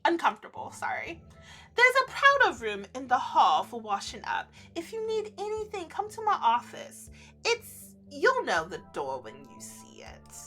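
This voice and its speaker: A woman's voice: high-pitched, wildly swooping pitch, measured pace, pushed and bright, soft background music, full character voice. Woman voicing a serene, awkward male winter spirit. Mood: awkward, apologetic. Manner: polite, apologetic, reserved. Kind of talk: visual novel character read aloud.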